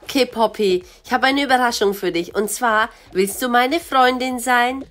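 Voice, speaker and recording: Shy teen boy, earnest and polite, a woman voicing him; hoarse, high. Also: moderate pace, a bit bright, natural voice. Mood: nervous. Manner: nervous, polite.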